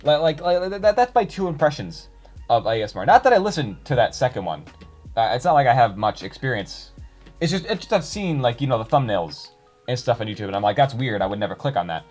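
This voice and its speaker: A man's voice: medium pitch, very animated, brisk, darkish tone, faint music behind. A young man chatting casually to his viewers, rambling with many fillers and restarts. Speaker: YouTuber reading comments.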